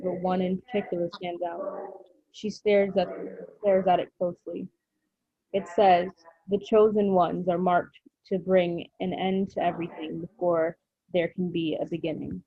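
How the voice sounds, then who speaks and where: A woman's voice: lowish voice, flat delivery, moderate pace, slightly rough, no room sound. A woman reading screenplay stage directions aloud as narrator at a table read. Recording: dull lo-fi recording.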